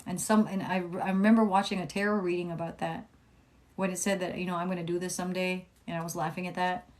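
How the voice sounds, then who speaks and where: Young woman, calm soothing voice, casual live tarot reading, swears freely, chatty. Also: fairly low pitch, quick pace. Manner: chatty, swears freely, reads comments aloud. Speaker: tarot reader live-streaming.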